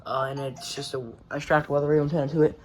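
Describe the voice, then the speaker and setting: A man's voice: a little high, little pitch movement, racing, slight background noise. A man giving a casual show-and-tell vlog in a rambling, offhand, sweary way, recorded on a handheld phone. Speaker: hobbyist presenting a radio collection. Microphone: phone held in hand.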